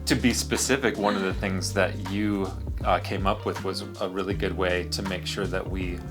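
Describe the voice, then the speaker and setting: A man's voice: deep voice, steady tone, normal speed, music in the background. A middle-aged American man talking casually in a studio control room, explaining with easy fillers. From United States. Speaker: platform developer, interviewee. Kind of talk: casual conversation.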